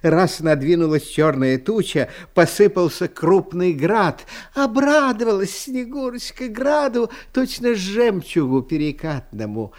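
A man narrating a fairy tale for an audio storybook with expressive, dramatic, unhurried phrasing. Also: sits high.

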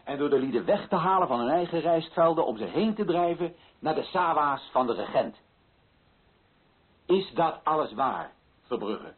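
A man's voice: a little high, expressive, moderate pace, breathy edge. An actor playing an earnest, indignant colonial official in a radio play, pressing his points with insistent rhetorical questions. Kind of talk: Dutch radio play acting. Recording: muffled old radio recording.

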